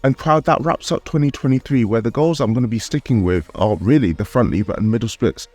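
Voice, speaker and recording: A man's voice: fairly low pitch, warm tone. A man narrating his calisthenics vlog in a candid, upbeat way, sounding a little nasal from a cold. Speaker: calisthenics vlogger.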